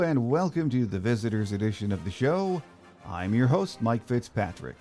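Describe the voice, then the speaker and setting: A man's voice: medium pitch, mellow. A middle-aged man hosting a sports podcast, delivering a scripted monologue. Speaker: podcast host.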